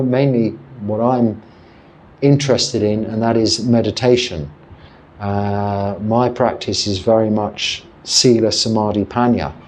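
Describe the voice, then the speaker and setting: Calm, measured English monk. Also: male voice, on the low side, slight background noise. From England. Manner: calm, measured and reflective, with pauses and self-corrections. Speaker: Buddhist monk. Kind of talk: answering a viewer's question, guided meditation.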